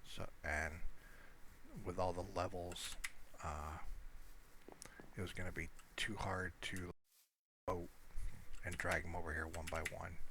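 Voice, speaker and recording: A man giving casual, unscripted gameplay commentary, halting and rambling as he thinks aloud. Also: sits low, little pitch movement, background noise. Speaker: gamer narrating own gameplay.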